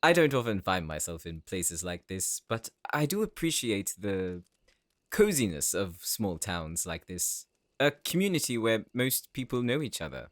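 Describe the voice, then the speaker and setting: Casting: man as a low-voiced, silky, threatening visitor, dry close sound. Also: big pitch swings, a little slow, a bit bright, dry recording. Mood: smooth, probing. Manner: suave and teasing. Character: smooth, quietly menacing man from the city. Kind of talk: audio roleplay acting.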